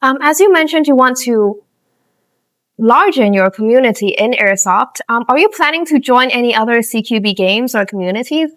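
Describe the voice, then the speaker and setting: A woman's voice: on the high side, lively intonation, moderate pace, a bit bright. A woman interviewer putting prepared questions to a guest.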